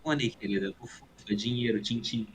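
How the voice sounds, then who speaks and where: A man playing a cheeky, money-minded mercenary hero who bargains in a brisk, flippant way in a tabletop audio drama. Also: on the low side, a little hiss or hum, lightly acted, poor audio. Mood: cheeky, bargaining.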